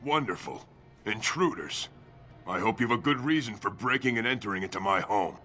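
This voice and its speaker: A man playing a gruff, self-interested veteran fighter in a video game, blunt and sardonic. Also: fairly low pitch, expressive, normal speed, bright, forceful tone, soft background music. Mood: sardonic, wary.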